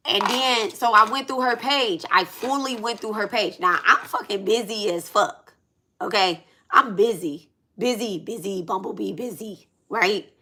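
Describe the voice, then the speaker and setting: Bright-voiced, blunt woman in her thirties. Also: medium pitch, a little slow. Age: 30s. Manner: blunt, emphatic, repetitive, profane. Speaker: spiritual reader and channel host. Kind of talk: casual venting vlog talk.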